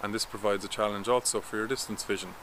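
A man's voice: fairly low pitch, fast, noisy background. A man in his thirties, a vision coach, explaining things step by step in a talking-head vlog recorded outdoors in a garden with a camera at arm's length. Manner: steady, instructive, explaining step by step.

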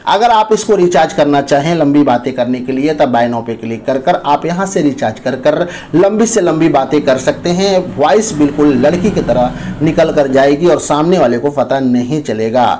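A man's voice: on the high side, expressive, normal speed, few pauses, mellow, slight background noise. A man hosting an informal step-by-step app tutorial, instructing viewers and addressing them as friends. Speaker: tutorial host.

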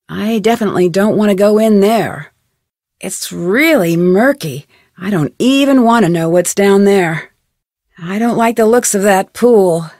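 A young detective heroine from a mystery video game. She sounds curious, sensible and upbeat, with a medium-pitched voice. Her delivery is self-possessed and conversational, each word clearly enunciated, in a dry recording with no room sound. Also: a little mellow, dry recording. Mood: curious, musing. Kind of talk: video game voice acting, scripted lines and letter narration.

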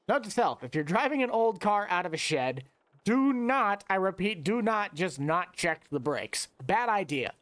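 A man giving casual, chatty commentary over his gameplay, talking straight to his viewers with excitable outbursts. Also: high-pitched, measured pace, dry recording. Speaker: gameplay video host.

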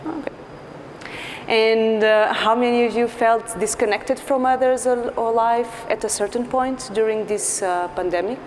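A woman in her thirties from Portugal with a Portuguese accent, giving an earnest conference talk as a psychologist, pausing often with fillers. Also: on the high side, steady tone. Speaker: clinical psychologist speaker. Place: large dark stage. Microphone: lapel mic. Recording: large hall with faint noise.

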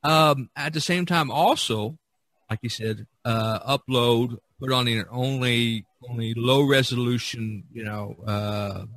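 A man's voice: medium pitch, unhurried, a bit bright, no echo. Man casually giving advice, dry close sound. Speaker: podcast host and artist.

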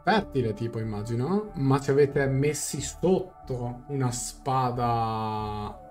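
A young man streaming a game, translating dialogue aloud in a halting, casual reaction. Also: medium pitch, lively intonation, a little mellow, soft background music. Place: small room indoors, on webcam.

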